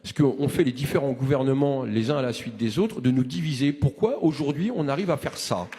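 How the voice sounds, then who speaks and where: A man's voice: medium pitch, fairly quick, warm tone, in a large hall, a little hiss or hum. A man in his forties giving an impassioned, rousing address to a movement, full of restarts and rhetorical questions. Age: 40s. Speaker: activist speaker.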